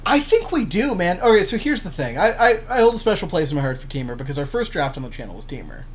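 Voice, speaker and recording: A man's voice: high-pitched, sing-song, fast, slight background noise. A man talking casually as a gaming podcast co-host, slangy and informal, with offhand swearing. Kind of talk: casual gaming commentary, chatting while drafting cards.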